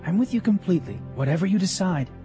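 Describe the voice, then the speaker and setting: An actor playing a loyal, eager young armor-bearer in a dramatized audio story. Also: male voice, high-pitched, expressive, quick pace, music in the background. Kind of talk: dramatized audio Bible acting.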